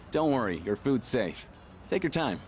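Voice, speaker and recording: An actor playing a casual, chatty, sincere teenage student athlete in a video game. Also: male voice, medium pitch, sing-song, measured pace, a little hiss or hum. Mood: friendly, upbeat.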